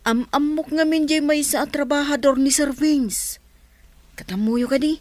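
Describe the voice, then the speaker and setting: A woman's voice: high voice, a little slow, darkish tone. A woman voicing an eager young male servant who talks earnestly. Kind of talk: radio drama acting.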